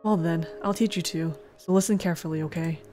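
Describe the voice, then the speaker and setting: A woman's voice: fairly low pitch, steady tone, a little fast, close to an everyday voice. A woman voicing a resigned middle-aged hospital patient who instructs a newcomer, in a visual novel read aloud. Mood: instructing. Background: game music.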